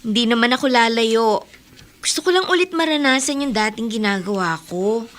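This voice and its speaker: A woman's voice: fairly high pitch, expressive, normal speed, fairly bright tone. An actress playing a hopeful young woman learning to walk again in a radio drama, speaking eagerly and politely. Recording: noisy recording.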